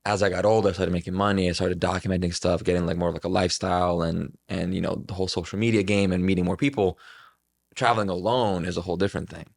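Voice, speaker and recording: A man's voice: low-pitched, steady tone, fast, vocal fry. A man in his twenties talking casually and reflectively as a podcast guest, earnest and rambling, with plenty of filler words. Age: 20s.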